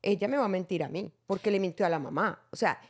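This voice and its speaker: A woman's voice: medium pitch, fast talker. Earnest woman explaining Bible teaching. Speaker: host.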